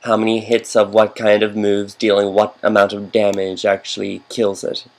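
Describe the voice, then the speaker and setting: Guy with a lowish voice doing chatty game commentary, kinda rambly and nerdy fan asides. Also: monotone, moderate pace.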